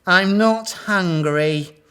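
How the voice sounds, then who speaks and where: A man voicing fussy female gorillas with a sighing, drawn-out delivery in a children's storybook. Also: sits high, expressive, pitched above the performer's own voice. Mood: haughty, dismissive. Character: female gorillas, fussy, haughty, then gushing admirers.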